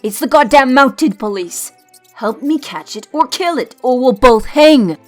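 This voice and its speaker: Woman as a fierce, high-voiced bossy fighter. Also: lively intonation, a little slow. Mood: frantic, angry. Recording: music behind the voice.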